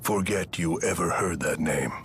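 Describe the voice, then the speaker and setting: A man voicing a wise, stoic elemental spirit in a video game, grave, formal and measured. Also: low-pitched, a bit bright, slight background noise. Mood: solemn, kind.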